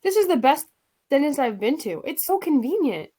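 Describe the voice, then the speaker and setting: A woman's voice: high voice, very animated, normal speed, warm tone. A woman playing an eager, easily pleased dental patient in a scripted radio commercial.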